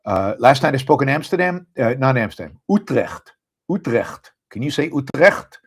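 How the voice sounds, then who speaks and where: A middle-aged man with an Israeli accent, hosting a live show in a casual, halting monologue with many fillers and restarts. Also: medium pitch, big pitch swings, slightly rough. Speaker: podcast host. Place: abroad, speaking on a live stream.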